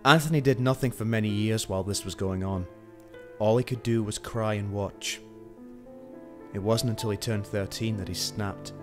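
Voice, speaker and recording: Man playing an earnest detective, loud music behind. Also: fairly low pitch, moderate pace, natural voice. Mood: grim, narrating. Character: driven, hot-tempered male police detective seeking revenge. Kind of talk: amateur radio play acting.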